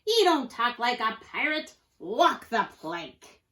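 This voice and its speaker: A woman voicing a gruff, short-tempered male pirate captain in a children's storybook, bellowing in folksy pirate slang. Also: a little high, lively intonation, normal speed, lightly acted. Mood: outraged.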